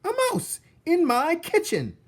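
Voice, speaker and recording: A man voicing an excitable, jumpy yet jolly female castle cook from a children's storybook, in an exclamatory way. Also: extremely high pitch, unhurried, voice pushed up, full character voice. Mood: startled, shrill. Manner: exclamatory, self-muttering, giggling "hoo-hee".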